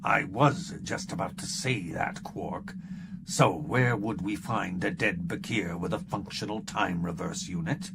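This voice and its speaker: A man voicing a stern, shapeshifting male constable in an audiobook, low and gravelly with a dry, sardonic delivery. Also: unhurried, background noise. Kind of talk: audiobook character reading.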